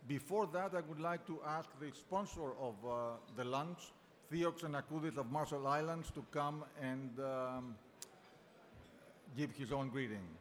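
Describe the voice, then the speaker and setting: A man hosting an event luncheon, giving an unscripted, halting welcome speech and thanking his guests. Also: on the high side. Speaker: event host and organizer. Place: large banquet hall. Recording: long reverb of a large hall.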